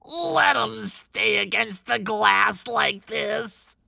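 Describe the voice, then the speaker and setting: Snide, creaky little sidekick. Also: medium pitch, drawn-out words, bright, forceful tone, dry recording, full character voice. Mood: cocky. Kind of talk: cinematic audiobook acting.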